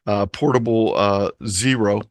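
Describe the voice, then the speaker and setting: A man's voice: fairly low pitch, a little slow, dry recording, studio quality. A man from Ohio giving an informal, explanatory presentation over a video call, speaking conversationally. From Ohio, United States. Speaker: presenter of an amateur radio talk. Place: online video meeting.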